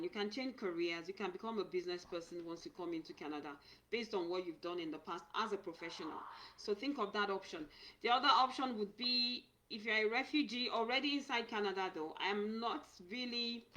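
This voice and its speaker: A woman's voice: medium pitch, a bit bright. A woman who works as an immigration consultant, giving earnest advice on a live stream and often repeating or restarting words as she speaks. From Nigeria. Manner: earnest, repeats and restarts words, reads viewer comments aloud. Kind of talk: live-stream talk answering viewers.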